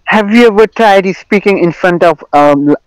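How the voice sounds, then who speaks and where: A young man with an Indian accent and Hindi as his native language, chatting casually as an English learner, halting and repeating himself as he searches for words. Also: high-pitched, clean tone, no room sound, poor audio. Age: young adult. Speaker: English learner in online conversation. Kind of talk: casual chat.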